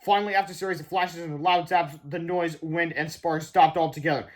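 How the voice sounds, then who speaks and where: A man's voice: a little high, steady tone, a little fast. A man narrating a children's book as a read-aloud. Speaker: storybook reader.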